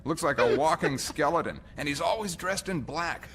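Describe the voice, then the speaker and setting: An actor playing a griping junior space navy officer in an audio drama, casual and jokey. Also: male voice, on the high side, animated, normal speed, a bit bright, slight background noise.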